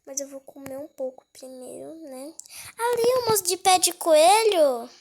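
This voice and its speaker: A woman's voice: high voice, expressive, measured pace, darkish tone, slight background noise. A woman with a cold, giving casual gameplay commentary; she is chatty and easily startled, and often drops to a whisper. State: has a cold. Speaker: gaming channel host.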